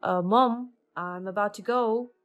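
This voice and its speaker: A woman voicing a calm, kind husband and father in a narrated story. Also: medium pitch, takes it slowly, lo-fi sound, close to an everyday voice.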